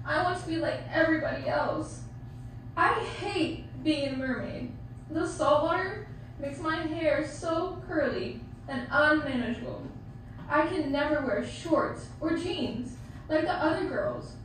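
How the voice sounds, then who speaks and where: A woman's voice: high voice, unhurried. A woman voicing a whiny, tearful young mermaid schoolgirl in an amateur puppet show. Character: self-pitying, weepy young mermaid schoolgirl. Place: theater, camera far from puppet stage. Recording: distant echoey theater recording, faint noise.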